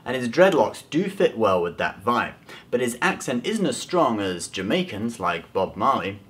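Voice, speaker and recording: A man's voice: mid-range voice, very animated, moderate pace, fairly bright tone, slight background noise. A man in his twenties hosting a scripted explainer video about films, speaking to camera. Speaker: host of a film trivia video. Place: small indoor room at home.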